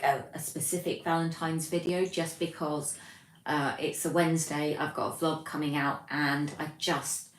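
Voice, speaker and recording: A young British woman hosting a livestream from her flat, reading out chat messages and rambling casually with lots of ums. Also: low-pitched. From Britain. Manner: chatty, rambling, many ums. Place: home, flat. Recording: echoey room, distant mic, faint noise.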